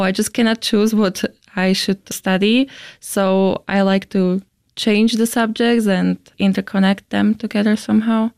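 A woman's voice: medium pitch, a little mellow, smooth, dry recording, studio quality. A young woman in her twenties from Slovakia, a native Slovak speaker, earnestly explaining her studies in an interview, at times searching for words in English. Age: 20s. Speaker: interviewee, chemistry student.

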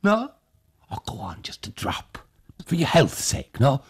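A fussy, excitable old village man, pitched high and pushy, stumbling over his words, as a man puts him on. He swings his voice up and down with husky breath and a slow, deliberate rhythm. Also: full character voice. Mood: eager. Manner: eager, insistent, stammering.

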